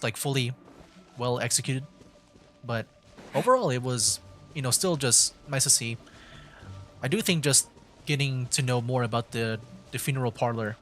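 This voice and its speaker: A man's voice: mid-range voice, fairly bright tone. A man giving casual livestream game commentary, mildly meandering as he thinks aloud. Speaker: streamer, VTuber.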